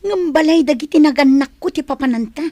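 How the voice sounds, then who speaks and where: Actress as wronged young wife in a radio play: high, plaintive, questioning, close dry sound. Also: female voice, brisk, dark tone, no room sound, close to an everyday voice. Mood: hurt, protesting. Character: young wife, hurt and protesting against her controlling husband. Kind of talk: Ilocano comedy-drama radio acting.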